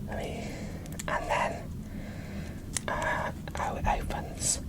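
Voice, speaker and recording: Slow, whispering woman in ASMR style. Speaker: video creator presenting a doll unboxing. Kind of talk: whispered ASMR unboxing commentary.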